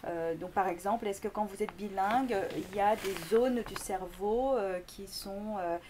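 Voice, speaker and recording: A woman's voice: medium pitch, moderate pace, darkish tone. Hesitant woman explaining linguistics, faint noise. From Greece. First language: Korean. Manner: hesitant, many fillers and restarts. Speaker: linguistics lecturer, guest. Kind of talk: explanatory interview answers.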